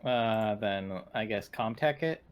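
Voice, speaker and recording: A man's voice: fairly low pitch, takes it slowly, slight background noise. A man chatting casually as he plays a tabletop roleplaying game.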